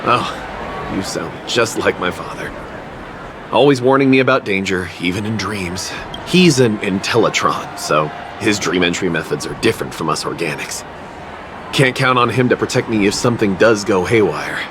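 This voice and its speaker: A man's voice: lowish voice, lively intonation, normal speed, background noise, lightly acted. Man voicing a shy, lonely young heir. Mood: wistful. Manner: hesitant, soft, wistful. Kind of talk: video game voice acting.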